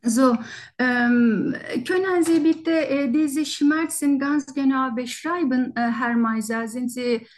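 A woman's voice: high-pitched, slow pace, a little mellow. A non-native German-speaking woman with a foreign accent, hesitantly and politely conducting a formal medical interview as a doctor, often searching for words. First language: not German. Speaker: doctor taking a patient history in exam practice. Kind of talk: halting structured medical interview in role-play.

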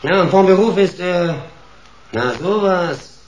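An actor playing a dim, obedient police sidekick in a radio play, reporting in blunt, clipped phrases. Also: male voice, high-pitched, expressive, slow pace, dull audio. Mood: dull, dutiful. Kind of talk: sci-fi detective radio play. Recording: echoey room, distant, noisy.

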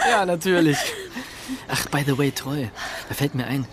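Mild young writer, pitch moves around a lot, earnest n hesitant, radio play, bit of noise. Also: male voice, wildly swooping pitch, slow, exaggerated voice. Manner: hesitant, concerned, conciliatory. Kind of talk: radio drama acting.

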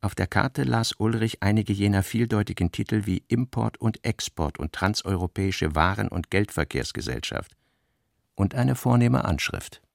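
A man's voice: on the low side, moderate pace, vocal fry, no echo, clean studio sound. A man narrating a literary radio drama, reading scripted prose as the storyteller.

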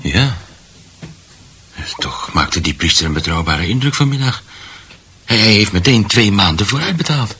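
A man's voice: on the low side, sing-song, normal speed, long pauses, pushed and bright, a little hiss or hum. An actor playing a chatty, fussy middle-aged husband in a radio drama, proud of his home and often stammering and repeating himself. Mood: flustered, placating. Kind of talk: Dutch radio drama acting.

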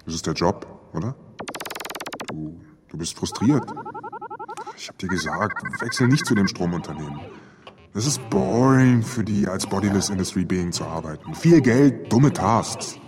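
Low-voiced geeky male student. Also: animated, moderate pace, noisy background. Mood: stubborn, flippant. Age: young adult. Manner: slangy, nerdy, flippant. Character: geeky, eager male student, teasing his brother. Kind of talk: naturalistic radio drama acting. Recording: music behind the voice.

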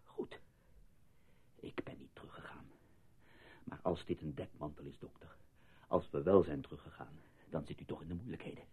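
An actor playing a distraught, sarcastic and accusing male patient in a radio drama, with a husky voice. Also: very deep voice, moderate pace, pauses often, a little mellow. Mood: drowsy, menacing. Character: distraught, defiant male patient who suspects a cover-up. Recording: muffled lo-fi old radio recording.

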